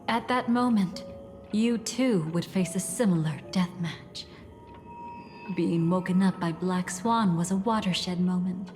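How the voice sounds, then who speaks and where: An actress playing a calm, mysterious woman who guides the listener through past memories in a composed, reflective way. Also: medium pitch, natural voice. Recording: reverberant game audio with soft music.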